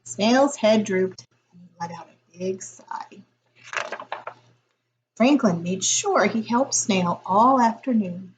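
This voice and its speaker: A woman reading a picture book aloud as a teacher would, in a deliberate, expressive storytelling style. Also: mid-range voice, slight background noise, poor audio.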